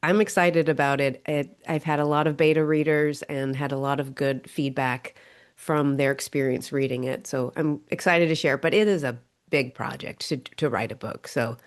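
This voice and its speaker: A middle-aged woman with a low voice talks as an interview guest. Her voice is smooth and resonant, though she is stuffy from a cold. She speaks eagerly, with a few restarts. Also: steady tone, normal speed. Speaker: guest author and coach. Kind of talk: casual interview chat.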